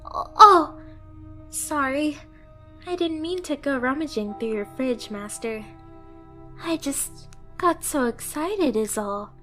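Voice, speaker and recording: A timid, very high-voiced catgirl. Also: lively intonation, takes it slowly, bright, forceful tone, faint music behind, heavily acted. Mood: flustered, apologetic. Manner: meek, hesitant, stammering, apologetic. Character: timid, clumsy, eager-to-please young female catgirl pet. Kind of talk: anime-style ASMR roleplay.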